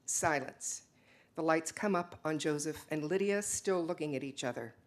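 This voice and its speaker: A woman giving a dramatic reading of a play, narrating the stage directions in a measured, deliberate way. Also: low voice. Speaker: reader of a play.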